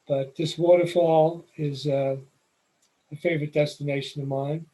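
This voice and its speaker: Middle-aged New York man, slightly high voice, calm explanatory presenter for a photo talk. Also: moderate pace, poor audio. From New York City. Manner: relaxed, explanatory, with occasional fillers. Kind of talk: slide presentation lecture.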